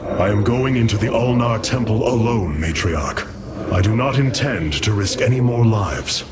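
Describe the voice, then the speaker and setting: A man's voice: lowish voice, moderate pace, heavy background noise, lightly acted. A man voicing a noble, solemn alien warrior leader in a video game cutscene, speaking in a formal, grave and stately way. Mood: solemn resolve.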